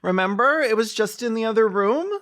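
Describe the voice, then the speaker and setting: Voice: medium pitch, fairly quick, fairly bright tone. A sarcastic, foul-mouthed video host giving mocking comedic commentary on a film.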